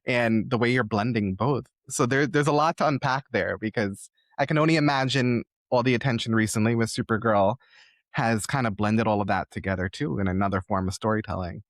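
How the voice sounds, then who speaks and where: A man's voice: fairly low pitch, fairly quick, dry recording. A man hosting a podcast interview in a conversational, admiring and reflective way.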